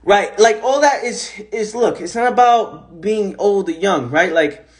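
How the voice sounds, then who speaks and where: A high-voiced man speaks into his phone on a livestream, animated and repetitive. His talk is casual and motivational, with loose swearing. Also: measured pace. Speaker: host of an Instagram live.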